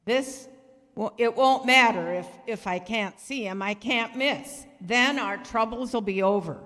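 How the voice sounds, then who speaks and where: A woman's voice: fairly high pitch, unhurried, fairly bright tone. A woman playing a menacing, impatient male gangster, blunt and streetwise, in 1930s radio style. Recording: echoey hall, long reverb.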